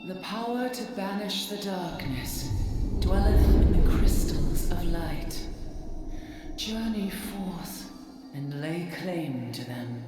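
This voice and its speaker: An ancient video game goddess, speaks extremely slowly in a vast echo. Her tone is solemn and beseeching, with stately archaic wording. Music plays clearly behind her lowish voice. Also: lively intonation. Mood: solemn, imploring. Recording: echoing voice under loud music.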